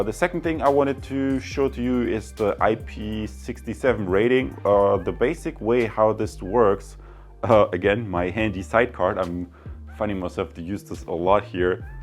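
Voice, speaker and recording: A man with a fairly low voice hosts a casual product review. He chats informally and speaks straight to his viewers as he explains things. There is music in the recording behind his voice. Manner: chatty, informal, addresses viewers directly. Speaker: product review video host.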